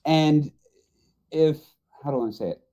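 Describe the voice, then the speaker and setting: A man's voice: a little high, measured pace, warm tone. A middle-aged man chatting casually on a podcast panel about movies.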